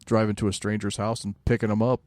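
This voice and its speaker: A man chatting casually as a podcast co-host, with a deadpan, self-deprecating manner. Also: fairly low pitch, fast talker, no room sound. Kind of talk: casual chat.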